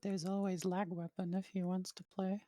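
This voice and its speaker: A woman whose first language is Dutch, doing relaxed, jokey esports commentary with trailing fillers. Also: fairly low pitch, normal speed, dry recording, studio quality. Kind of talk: casual commentary chat.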